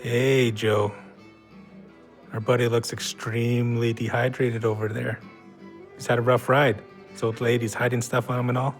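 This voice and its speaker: Man acting a bossy, gravelly drinking buddy. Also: medium pitch, flat delivery, moderate pace, long pauses, faint music behind, close to an everyday voice. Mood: sly coaxing. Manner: slangy, sarcastic, needling. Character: bossy, goading, foul-mouthed man, scornful drinking buddy. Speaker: audio play actor. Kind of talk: gritty contemporary audio drama dialogue.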